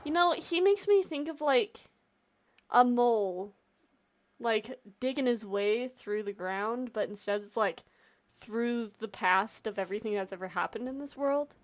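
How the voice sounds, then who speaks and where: A woman's voice: fairly high pitch, measured pace. A woman game streamer reacting casually and informally as she plays.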